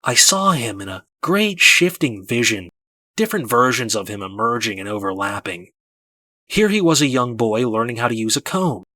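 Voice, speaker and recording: Bright, close-miked man narrating an audiobook. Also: mid-range voice, lively intonation, moderate pace, no echo. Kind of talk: scripted first-person story narration.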